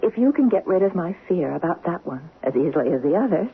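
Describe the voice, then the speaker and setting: An actress playing an anxious, insecure, devoted young wife in a radio drama, hesitant and confiding. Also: female voice, lowish voice, big pitch swings, fast, slightly rough, exaggerated voice. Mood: fearful, confiding. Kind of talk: 1970s radio drama acting. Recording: noisy, tinny vintage radio broadcast.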